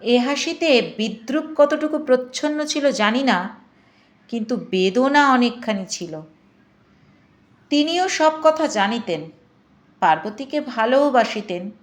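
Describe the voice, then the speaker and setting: A woman reading scripted literary narration in a dramatized storytelling manner. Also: a little high. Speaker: audiobook narrator. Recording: echoing room or reverb.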